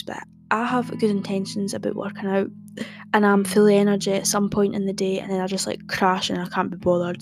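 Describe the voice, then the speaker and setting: Chatty young woman, gravelly edge. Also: mid-range voice. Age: young adult. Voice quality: soft, calming voice. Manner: chatty, rambling, many "um" and "like" fillers. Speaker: podcast host. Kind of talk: casual podcast chat.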